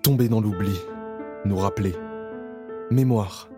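A man's voice: fairly low pitch, a little slow, close to an everyday voice. A man playing a weary camp survivor, narrating his memories in a subdued, reflective way in an audio drama. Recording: music playing behind the voice.

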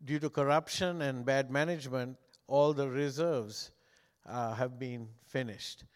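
An older man from Sri Lanka preaches with a smooth, resonant voice, joking easily with the congregation. English is his second language, and the sound carries a long church-hall reverb. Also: medium pitch, unhurried. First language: not English. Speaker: guest pastor. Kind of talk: sermon.

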